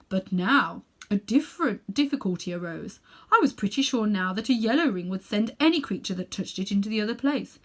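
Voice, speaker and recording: A woman's voice: medium pitch, a bit bright. A woman voicing a sinister, self-important elderly male magician in a storybook reading, pompous, condescending and emphatic in manner. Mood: impatient.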